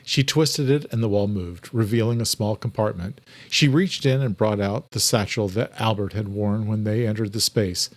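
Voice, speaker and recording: A man's voice: on the low side, normal speed, few pauses, no room sound. A man reading dramatic, scripted horror fiction as a narrator.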